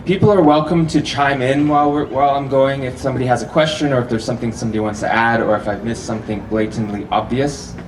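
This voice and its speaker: A man gives a relaxed, chatty talk to a live festival crowd and often laughs between points. His voice sits at an everyday middle pitch and comes from a fair way off the microphone. The room is echoey and carries a steady hum of noise. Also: quick pace, hardly any pauses. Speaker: festival speaker. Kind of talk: live public talk to an audience. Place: festival venue with a live audience. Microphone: handheld or stand microphone, often held far off. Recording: roomy echo, distant mic, audible hall noise.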